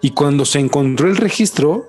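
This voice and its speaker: A Mexican man in easy podcast banter, slinging slang as he gets wound up telling a story. His voice sits a little high for a man, with faint music underneath. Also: animated, normal speed, darkish tone. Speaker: podcast co-host. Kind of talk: casual chat.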